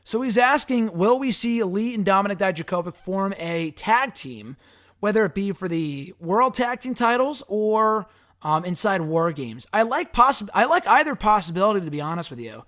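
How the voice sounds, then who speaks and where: A man with a hoarse, raspy voice hosting a wrestling show, answering fan questions in a rambling, frank and casual way. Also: sits high, expressive, moderate pace, a bit bright. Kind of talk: casual solo commentary.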